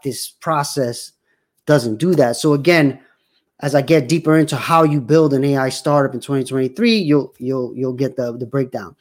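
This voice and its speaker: A man in his forties hosting his own show, talking casually and rambling with many fillers and restarts. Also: on the high side. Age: 40s. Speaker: show host. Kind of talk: casual livestream talk.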